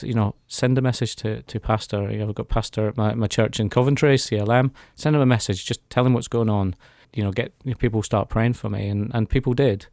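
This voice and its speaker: A man's voice: fairly low pitch, darkish tone, no room sound. Man in his forties, dry close sound. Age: 40s. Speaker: interview guest giving a testimony. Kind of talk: personal storytelling in a radio interview. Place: radio studio.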